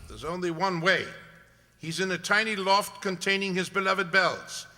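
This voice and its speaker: A man's voice: high voice, moderate pace, pushed and bright. A man playing a stern, commanding detective in a radio mystery, speaking with declamatory authority. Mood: urgent, decisive. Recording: long hall reverb.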